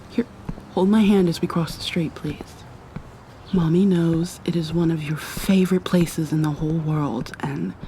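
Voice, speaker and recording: Woman as teasing, affectionate dominant girlfriend, ASMR roleplay: lowish, very relaxed pace, intimate half-whispered delivery. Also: slight background noise. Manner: soft, intimate, coaxing, often dropping to a whisper.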